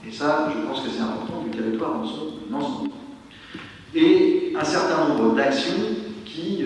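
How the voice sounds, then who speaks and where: A man giving a semi-formal address as a local official, speaking off the cuff in a halting, deliberate way and stressing key words. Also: a little high, a little mellow. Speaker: local official chairing a council meeting. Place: large reverberant meeting hall. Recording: echoey hall, distant mic, noisy.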